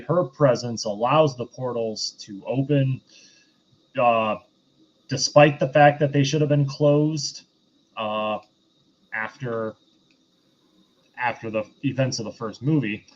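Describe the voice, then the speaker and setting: A man hosting a casual film review discussion, speaking in a halting, hesitant way. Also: mid-range voice, muffled recording. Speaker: podcast host.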